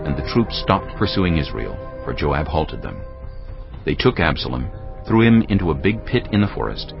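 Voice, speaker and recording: A very deep male voice narrates dramatized scripture with lively swings in pitch. The recording is slightly dull, with music underneath. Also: gravelly. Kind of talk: dramatized audio Bible narration. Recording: dull lo-fi audio.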